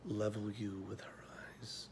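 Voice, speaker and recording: A weary older mentor, acted by a man speaks in a low, flat-contoured voice. He is tender but confronting, pausing often and letting phrases sink toward a hush, as in a film drama, with faint noise behind. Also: creaky. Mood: tender, aching. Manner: measured, pausing, drops to near whisper. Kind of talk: slow dramatic film monologue audition.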